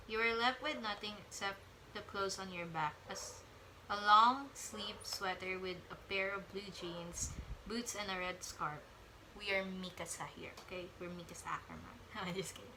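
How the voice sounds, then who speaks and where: Casting: young woman, bright, clear voice, casual vlogger reading aloud, rambly, trips over words. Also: medium pitch, slight background noise, low-quality recording. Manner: rambling, stumbles over words, chatty asides.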